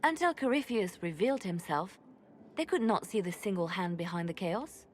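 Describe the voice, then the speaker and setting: An actress playing a composed, courtly fantasy advisor, speaking in a measured, formal way. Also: female voice, medium pitch, lively intonation, a little hiss or hum, lightly acted. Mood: composed, solemn. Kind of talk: dramatic game dialogue.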